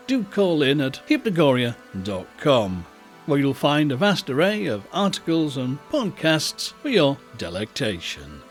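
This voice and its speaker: A man narrating an audiobook in an expressive, theatrical storytelling style with deliberate emphasis. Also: on the high side.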